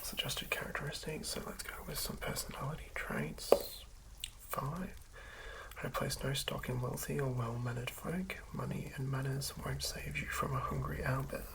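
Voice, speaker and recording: An ASMR host speaking in a hushed, halting whisper, musing aloud through unscripted commentary. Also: medium pitch, fairly even intonation, vocal fry, rough recording.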